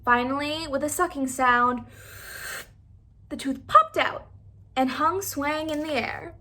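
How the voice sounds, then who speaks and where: A woman narrates a children's picture book with plenty of expression. Her voice is very high and bright, suited to read-aloud storytime. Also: slow pace.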